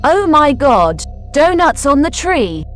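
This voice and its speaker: Woman acting a high-pitched, gullible farmer's wife. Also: sing-song, takes it slowly, soft background music, heavily acted. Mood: amazed. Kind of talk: animated fairy tale character acting.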